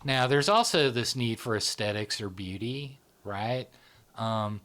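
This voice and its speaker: A man with a fairly low voice, hosting a podcast in a relaxed, thinking-aloud way. He often trails off and stretches his words. His frequent checks with his listener keep the exchange loose and casual. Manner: conversational, drawn-out, frequent "you know" and "right?". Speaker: podcast host. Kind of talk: casual discussion.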